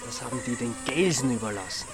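A man's voice: mid-range voice, expressive, fast talker, over music, lightly acted. An actor with an Austrian accent playing a sardonic, aggrieved, colloquially exaggerating man in a radio drama. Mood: grim storytelling. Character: sardonic, aggrieved man, prone to lurid storytelling.

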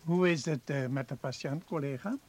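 An older actor in a radio play playing a measured, modest old professor of medicine, avuncular and unhurried. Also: male voice, fairly high pitch. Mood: collegial.

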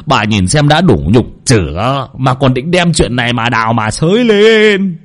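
A man voicing a hot-tempered older male head of a household who scolds gruffly. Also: mid-range voice, very animated, measured pace. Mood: angry scolding.

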